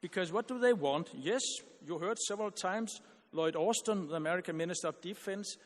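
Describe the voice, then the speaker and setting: A man giving an earnest, argumentative conference panel speech, halting with frequent restarts and repeated words. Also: sits high, in a large hall.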